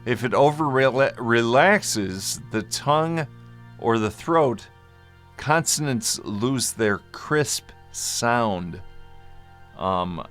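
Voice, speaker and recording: A man giving an informal explainer as a vlogger, speaking in a halting, deliberate way with long hesitations and at times mildly slurred speech. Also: mid-range voice, fairly bright tone. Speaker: vlogger sharing a personal medical journey. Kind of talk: informal explainer, part reading notes, part ad-libbed.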